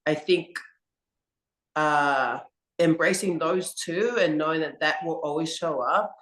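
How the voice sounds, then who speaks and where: Woman, rugby coach, interview guest, slow, earnest, reflective, roomy echo. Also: deep voice, fairly bright tone.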